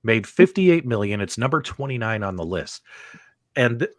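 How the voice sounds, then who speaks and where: A man hosting a casual panel show, excitable and chatty as he addresses his co-hosts and audience. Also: mid-range voice, normal speed, no echo, studio quality. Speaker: host of a video game podcast.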